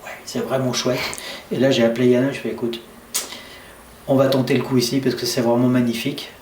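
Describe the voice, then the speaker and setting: A middle-aged man from France, a farmer telling a relaxed, anecdotal story in casual conversation. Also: medium pitch, brisk. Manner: easygoing storyteller, frequent fillers. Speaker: interviewee, distillery farmer. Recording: roomy and distant, faint background noise.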